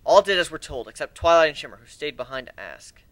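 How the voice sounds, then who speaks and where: A man's voice: on the high side, very animated, quick pace, a bit bright, no echo. A man giving an amateur dramatic reading of a fan fiction story in a theatrical manner. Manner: theatrical, acts out dialogue.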